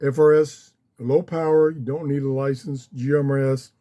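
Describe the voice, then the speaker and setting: A man giving a casual, unscripted explainer as a hobbyist host, speaking haltingly in short phrases with pauses. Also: medium pitch, little pitch movement, dull audio. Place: small home office.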